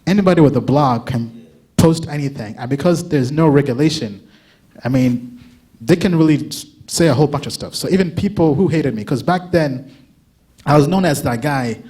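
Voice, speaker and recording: A young man giving a casual conference talk, often checking in with a quick "right?" and restarting his sentences. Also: mid-range voice, mellow, husky. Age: young adult. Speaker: crypto analyst giving a talk.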